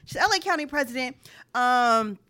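A woman co-hosting a relaxed chat, with a fairly high and bright voice. She talks loosely and conversationally, with easy laughs between the news items. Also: normal speed. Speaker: podcast co-host. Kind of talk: casual chat about news.

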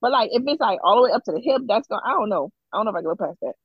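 Woman co-host, husky and animated, rambling and giggly, dull muffled line. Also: mid-range voice, very fast, dry recording. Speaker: podcast co-host. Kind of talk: casual group chat.

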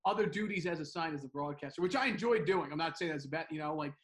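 A young man chats casually on a sports podcast. His voice sits a bit high. He rambles and circles back with lots of fillers and restarts. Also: fast talker. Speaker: baseball broadcaster, co-host.

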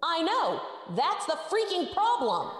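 A woman's voice: extremely high pitch, huge pitch swings, measured pace, a bit bright, heavily acted. A woman with a Canadian accent plays a confused, sarcastic young woman in therapy, deflecting and muttering asides. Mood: sardonic. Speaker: therapy patient. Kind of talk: student radio comedy acting.

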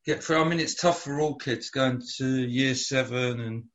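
A man's voice: medium pitch, moderate pace, fairly bright tone. Hesitant man, dull lo-fi sound. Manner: hesitant with many ums and repeated words. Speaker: interviewer. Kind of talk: casual interview chat.

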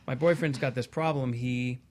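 A man's voice: mid-range voice, steady tone, moderate pace, background noise. Man explaining as a talk show guest. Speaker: guest hypnotherapist.

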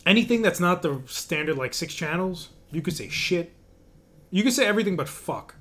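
A man's voice: high-pitched, expressive, moderate pace, fairly bright tone. A man co-hosting a podcast in casual, joking banter with plenty of swearing. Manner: joking, profane. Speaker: podcast co-host.

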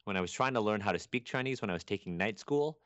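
A young man from Canada, a guest chatting casually on a podcast, rambling and animated with many restarts. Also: lowish voice, fast talker, no room sound, muffled recording. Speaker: guest archaeologist.

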